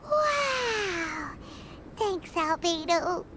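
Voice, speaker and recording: A woman voicing a small, excitable childlike fairy companion in a video game, squeaky and dramatic, talking about herself in the third person. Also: extremely slow, background noise, heavily acted. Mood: delighted. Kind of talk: video game cutscene voice acting.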